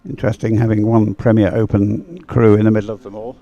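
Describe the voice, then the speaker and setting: A man's voice: on the low side, fairly even intonation, mellow, dry recording, lo-fi sound. Hesitant man calling races, in dry close sound. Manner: hesitant, halting, rambling delivery. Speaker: race commentator. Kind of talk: live sports commentary, unscripted.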